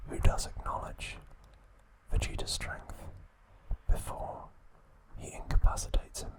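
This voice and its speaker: A man whispering a calm ASMR recap, narrating softly with occasional fillers and self-corrections. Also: rough recording. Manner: soft, slow, unvoiced whispering close to the mic. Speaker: ASMR channel host.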